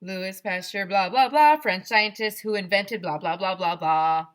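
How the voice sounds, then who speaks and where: A woman's voice: medium pitch, measured pace, close to an everyday voice. A woman voicing a chatty male ice cream man from a storybook, an eager know-it-all who lectures emphatically. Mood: emphatic lecturing.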